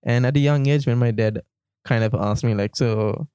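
A man's voice: mid-range voice, moderate pace, clean tone, no room sound. A young man from Sri Lanka, a university student co-hosting a casual faith podcast, talking easily with lots of "like". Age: young adult. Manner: relaxed, uses "like" a lot. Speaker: podcast co-host. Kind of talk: casual chat.

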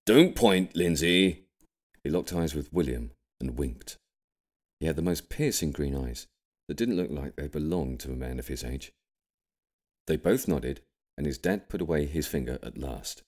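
A British man reading an audiobook, delivering scripted comic narration in an expressive storytelling manner. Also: a bass voice, normal speed. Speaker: audiobook reader.

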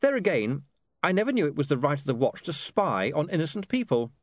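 A haughty young newspaperman from an audiobook, performed by a male reader, nervy yet defiant. He talks in a high, swooping voice with clipped arrogance, recorded close and dry. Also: very animated, fairly quick, dry recording. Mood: stubborn. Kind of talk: audiobook character dialogue.

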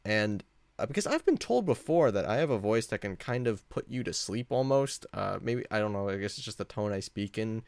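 A man with a deep voice hosting a casual solo podcast, talking in a rambling, conversational and self-deprecating way. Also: expressive, brisk, vocal fry. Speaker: podcast host.

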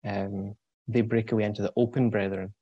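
A young man in his twenties with a Scottish accent, a guest on an interview, explaining things thoughtfully with frequent pauses and ums. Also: lowish voice, little pitch movement, breathy edge, no room sound, muffled recording. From Scotland. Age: 20s. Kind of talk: casual explanatory talk.

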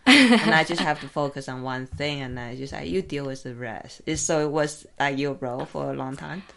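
Curious, low-pitched woman podcast host. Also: normal speed, creaky, a crowd in the background. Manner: curious, asks probing follow-up questions. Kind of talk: casual interview chat. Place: her office.

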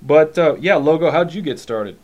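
A man's voice: on the high side, animated, normal speed, a little mellow, muffled recording. A man chatting casually as an interviewer, with brief reactions and short questions. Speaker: podcast interviewer. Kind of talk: casual chat.

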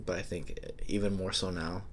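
A young man co-hosting a casual podcast, speaking slowly and haltingly with many pauses. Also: sits low, level tone. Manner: slow, halting, soft-spoken with many pauses. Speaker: podcast co-host.

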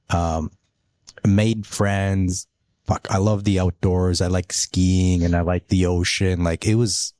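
Low-voiced Polish-born man, relaxed. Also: no echo, clean studio sound. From Poland. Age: middle-aged. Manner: relaxed, rambling, with casual swearing. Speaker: company co-founder. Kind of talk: casual podcast chat.

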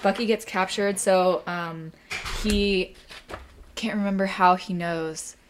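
A young woman co-hosting a casual fan discussion, relaxed and quick to laugh, retelling story details with "like". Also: medium pitch, slight background noise. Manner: relaxed, laughs often, short agreeing replies. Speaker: co-host.

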